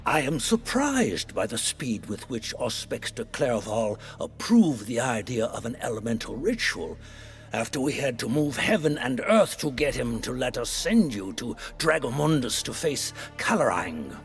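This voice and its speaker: A wry, loyal counsellor in a fantasy video game. His voice is heavily gravelled and very expressive, with faint music underneath. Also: medium pitch, a little slow. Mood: wry, supportive. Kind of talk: video game cutscene acting.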